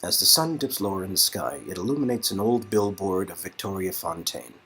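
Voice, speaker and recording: A man with a husky voice giving scripted second-person narration for an interactive story. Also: deep voice, lively intonation, a little fast, sharp tone.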